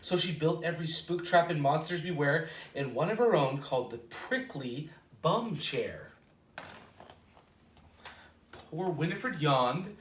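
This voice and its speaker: A man reads a children's picture book aloud as a storytime host. His voice is bright and forceful, and he stretches words for playful drama. It is recorded from a distance in an echoey space. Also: a little high, slight background noise. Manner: expressive, playful delivery with drawn-out dramatic words. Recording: roomy echo, distant microphone.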